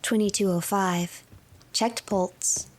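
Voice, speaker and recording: A woman narrating a story game in a hushed, breathy storytelling manner, as if reading a tale aloud. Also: medium pitch, steady tone, slow pace.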